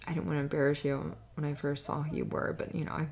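A young woman voicing a friendly, bashful cheerleader in an intimate ASMR roleplay, talking in a halting, giggly, flustered way. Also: deep voice, level tone, creaky, darkish tone. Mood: bashful, hesitant.

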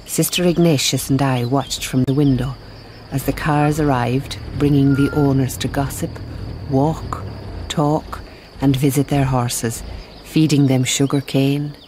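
A woman playing an observant Mother Superior who narrates a radio drama in a measured, literary manner. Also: a bass voice, steady tone, dark tone, slight background noise, poor audio. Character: composed, observant Mother Superior telling the story.

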